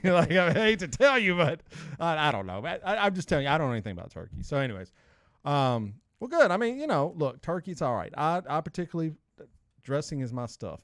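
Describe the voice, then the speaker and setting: Co-host, male, casual and teasing, bursts into excited highs; dry booth sound. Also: dry recording. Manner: animated, teasing, jumps to excited outbursts. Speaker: podcast co-host. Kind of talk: casual chat.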